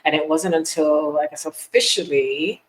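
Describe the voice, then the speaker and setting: A woman in her thirties from Miami, a tax strategist guest, chatting in a lively way and laughing often. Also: low-pitched, moderate pace, low-quality recording. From Miami, Florida, US. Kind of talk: casual podcast chat.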